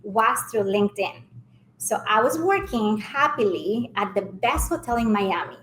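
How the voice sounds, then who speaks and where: A woman's voice: on the high side, animated, measured pace, fairly bright tone, a little hiss or hum. A middle-aged woman from Ecuador with an Ecuadorian Spanish accent, telling her career story and often checking in with "right?". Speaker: hotel sales director and mentor. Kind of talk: casual mentoring interview. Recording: echoey room with faint ambience.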